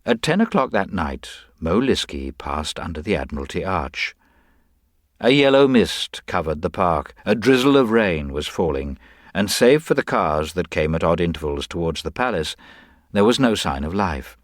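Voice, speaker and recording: A man narrates a crime story in a deep voice with wide, lively intonation. The delivery is scripted and dramatic, recorded close and dry. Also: normal speed, no echo.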